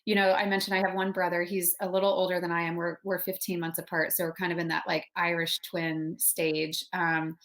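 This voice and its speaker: A woman's voice: on the low side, little pitch movement, a little fast, few pauses, fairly bright tone. A woman in her thirties from Texas, a real estate agent chatting upbeat and casually as a podcast guest. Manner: upbeat, chatty, frequent laughs. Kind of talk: casual interview chat.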